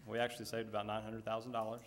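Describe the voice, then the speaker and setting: A man giving a relaxed project update at a school board meeting, with many fillers. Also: lowish voice, racing, slight background noise. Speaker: construction project manager.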